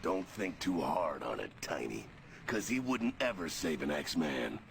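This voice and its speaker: A belligerent game strongman who bows to nobody. The voice is deep, gravelly and gruff, with clear noise in the background. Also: big pitch swings, measured pace, heavily acted. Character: surly, defiant male strongman who resents orders. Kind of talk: video game cutscene voice acting.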